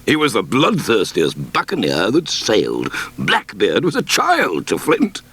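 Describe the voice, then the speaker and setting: A man reading a storybook aloud, voicing a pompous, excitable country squire in a raised voice. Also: fairly low pitch, measured pace, gravelly, slightly rough, exaggerated voice. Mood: blustering. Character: blustering wealthy country squire, adult male.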